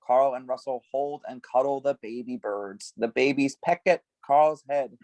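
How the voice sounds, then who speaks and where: A man's voice: medium pitch, a little slow, a little mellow, dry recording. A man reading stage directions at a script table read, giving scene headings some emphasis.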